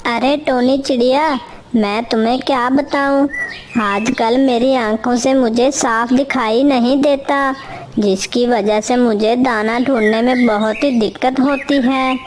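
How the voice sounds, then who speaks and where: A lazy, crafty husband crow from a children's cartoon acted by a woman, fairly high in pitch. He talks very slowly and coaxingly, as if always angling for a favour. Noticeable noise sits behind the voice. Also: a little mellow. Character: lazy, scheming male crow, a wheedling husband. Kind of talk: Hindi children's cartoon voice acting.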